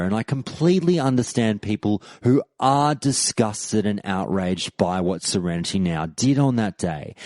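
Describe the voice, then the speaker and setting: A man hosting a podcast and giving his opinion in a measured, deliberate monologue with firm emphasis. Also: fairly low pitch, a little mellow, dry recording. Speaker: podcast host.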